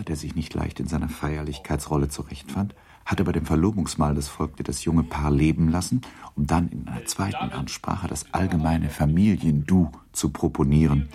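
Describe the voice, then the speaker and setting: An actor as a measured, gently ironic narrator of a literary radio drama. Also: male voice, very deep voice, fairly even intonation, vocal fry.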